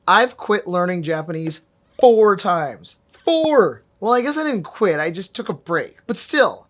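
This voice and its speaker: A man's voice: sits high, fairly bright tone. A man vlogging a casual app review in a wry, joking way, with sudden emphatic bursts. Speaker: VR fitness and language vlogger.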